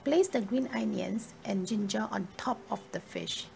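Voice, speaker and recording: A woman narrates a scripted recipe as a step-by-step guide. Her voice is heavy with vocal fry and sits at an ordinary female pitch. Music plays behind her. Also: measured pace, darkish tone. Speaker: cooking video narrator.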